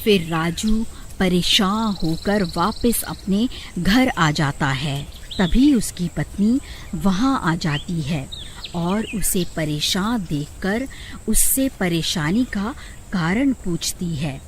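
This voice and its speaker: A woman reading scripted narration for an animated story. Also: fairly low pitch, slow, slight background noise. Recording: faint music behind the voice.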